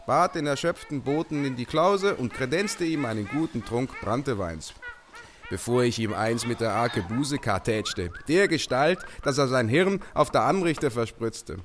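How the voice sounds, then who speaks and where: Boastful robber captain, declaiming. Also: male voice, medium pitch, a bit bright, a little hiss or hum. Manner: declaiming, old-fashioned flowery diction. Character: boastful, callous male robber captain writing to his sweetheart. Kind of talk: radio play letter monologue.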